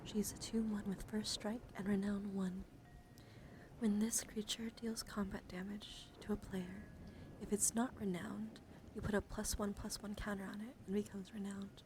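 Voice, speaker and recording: A woman livestream host speaking in a soothing, mostly whispered ASMR style, breathy and casual, with playful encouragement. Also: medium pitch, little pitch movement, normal speed, background noise. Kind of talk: whispered ASMR card reading with casual chat.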